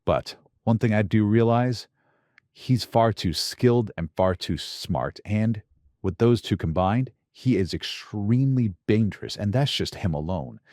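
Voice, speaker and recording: An actor playing a corrupt, calculating intelligence director in a radio drama, speaking in measured, deliberate phrases. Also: male voice, on the low side, lively intonation, a little mellow, no room sound, studio quality. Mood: calculating, grave. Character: corrupt CIA director, calculating, secretly villainous man. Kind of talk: radio drama dialogue on a phone call.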